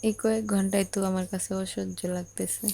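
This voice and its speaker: A woman's voice: medium pitch, level tone, fast, creaky, dark tone, close to an everyday voice. A woman acting a lovesick young bride in an audio drama phone call, coaxing and sulky, with a Bangladeshi accent. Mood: pleading, sulky. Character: lovesick young bride, yearning, insistent, devoted.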